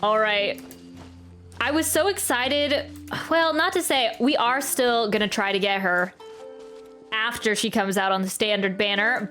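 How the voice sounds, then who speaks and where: Girl streamer reacting to her game, kinda high voice, chatting casually, faint game music behind. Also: a bit bright. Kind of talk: live gameplay reaction commentary; casual chat. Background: video game music.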